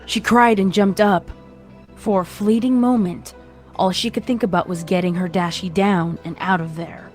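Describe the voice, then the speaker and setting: A woman's voice: medium pitch, steady tone, moderate pace. A woman reading scripted horror fan fiction as its narrator. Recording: music behind the voice.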